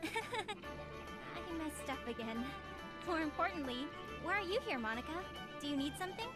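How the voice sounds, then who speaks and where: A woman voicing a cheerful, clumsy teenage apprentice alchemist in an anime-style game, expressive and earnest. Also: high voice, normal speed. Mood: bright, surprised. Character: cheerful, clumsy sixteen-year-old girl apprentice alchemist. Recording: game music playing behind the voice.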